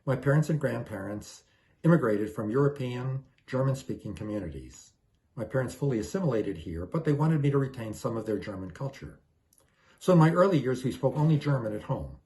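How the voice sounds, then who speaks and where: An older man giving a prepared, explanatory lecture in a measured, orderly way. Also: medium pitch, lively intonation, darkish tone. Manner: measured, plainly didactic, orderly. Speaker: lecturer introducing a teaching series.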